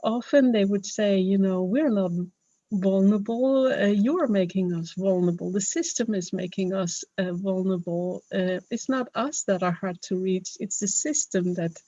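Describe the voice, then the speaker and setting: A woman's voice: mid-range voice, moderate pace, warm tone, dry recording, dull audio. A woman from Denmark with a Danish accent, speaking English as a second language in a webinar talk, earnest and hesitant, with many fillers and restarts. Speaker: webinar speaker, health professional.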